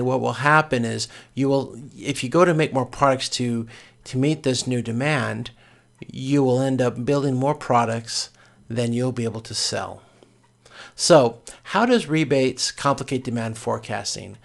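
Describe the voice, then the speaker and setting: A man giving a recorded lecture as a course instructor, explaining step by step in a halting way and often correcting himself. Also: medium pitch, vocal fry.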